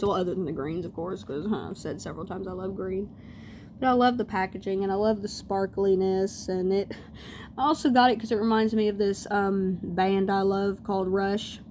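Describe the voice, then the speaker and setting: A woman's voice: mid-range voice, darkish tone. A young woman with a scratchy voice, chatting casually and rambling through tangents as she shows off makeup at home. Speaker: beauty vlogger. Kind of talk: casual chat.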